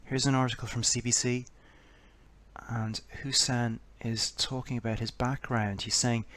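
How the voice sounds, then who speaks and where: A man giving scripted political commentary in a measured, explanatory way, posing a string of rhetorical questions. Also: medium pitch, flat delivery, a bit bright.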